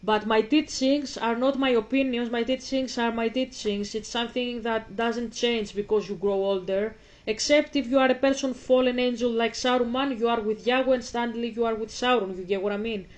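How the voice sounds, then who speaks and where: A woman's voice: on the high side, steady tone, normal speed. A woman in her twenties with a Greek accent, hosting a casual commentary, rambling with many restarts and repeats. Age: 20s. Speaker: host.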